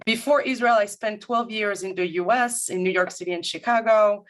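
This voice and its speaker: A woman from Paris with a French accent, a guest consultant presenting formally and in a measured, articulate way to an audience. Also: medium pitch. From France, grew up in Paris. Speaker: guest speaker, management consultant. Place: remote video-call talk.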